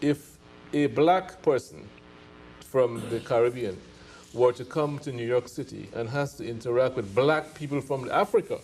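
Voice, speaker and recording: A man's voice: mid-range voice, normal speed, a little mellow, a little hiss or hum, poor audio. A man from Guyana, speaking firmly as a guest on a discussion panel.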